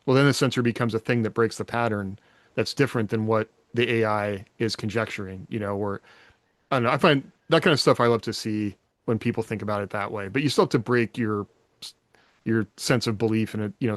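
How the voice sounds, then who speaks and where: A man's voice: fairly low pitch, steady tone, quick pace, dry recording. A man co-hosting a podcast, chatting casually about science fiction and musing as he thinks aloud. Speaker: podcast co-host.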